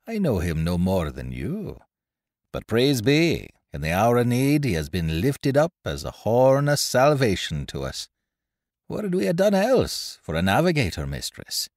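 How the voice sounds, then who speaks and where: A man's voice: mid-range voice, wildly swooping pitch, measured pace, dry recording, clean studio sound, voice pushed up. A man voicing an oily, sanctimonious scoundrel of a ship's gunner in a storybook. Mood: oily piety. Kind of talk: audiobook character voice, old seafaring dialect.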